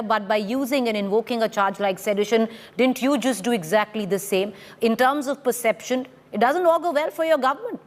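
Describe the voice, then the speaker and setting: High-pitched Indian woman news interviewer. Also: in a large hall. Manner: challenging, pressing. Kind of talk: probing political interview questions.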